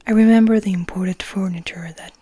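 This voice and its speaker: A woman reading as a composed, wistful male vampire in a soft, confiding, breathy whisper for an ASMR audiobook. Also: mid-range voice, steady tone, a little fast, a little hiss or hum, natural voice. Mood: composed, reminiscing. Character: gentle, composed, wistful male vampire telling his story.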